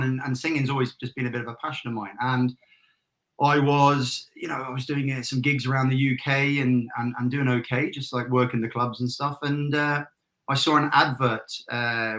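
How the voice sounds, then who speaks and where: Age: 40s. Sex: man. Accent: British with an Irish tinge. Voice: bright, chatty. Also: mid-range voice, poor audio. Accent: British, with a trace of Irish. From England. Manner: chatty and self-deprecating, laughs often, with many restarts and fillers. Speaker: guest, retired army major and business founder. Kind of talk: casual interview chat.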